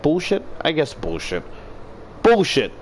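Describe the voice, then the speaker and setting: A man's voice: on the high side, wildly swooping pitch, measured pace, slight background noise. A man reading a visual novel aloud and adding joking, sweary commentary. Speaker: video creator reading a game aloud.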